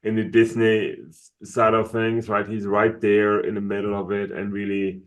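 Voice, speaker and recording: A man co-hosting a podcast in casual chat, often pausing to search for words. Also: lowish voice, completely flat delivery. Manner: searches for words, many fillers. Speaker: podcast co-host.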